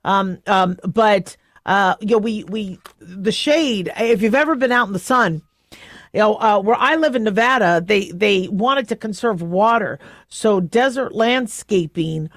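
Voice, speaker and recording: Middle-aged woman, American accent, radio talk host, casual monologue, halting delivery, medium pitch, dry close studio sound. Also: no echo. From southwestern United States. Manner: halting, conversational, searching for words.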